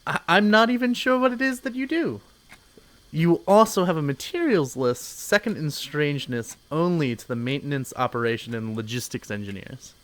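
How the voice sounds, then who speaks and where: An actor playing a pompous, officious corporate boss in an audio drama, condescending and easily offended. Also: male voice, a little high, animated, normal speed, close to an everyday voice.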